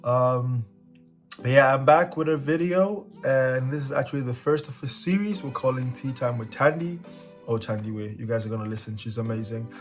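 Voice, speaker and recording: A man's voice: mid-range voice, moderate pace. Young man, from Cameroon, casual vlog host, playful, echoey room, faint music. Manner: joking, playful. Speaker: channel host.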